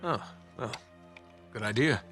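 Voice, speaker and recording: An American actor playing a cocky, wry treasure hunter in a casual, conversational way for a video game cutscene. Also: male voice, medium pitch, a little slow, fairly bright tone, lightly acted. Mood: sarcastic. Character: cocky, wisecracking male treasure hunter, adaptable and improvising. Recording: cinematic music behind the voice.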